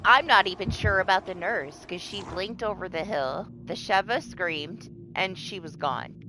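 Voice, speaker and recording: A woman's voice: mid-range voice, measured pace, background noise. A woman streamer chatting casually through her gameplay, sniffly and congested and now and then swearing. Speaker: game streamer. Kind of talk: casual live-stream chat.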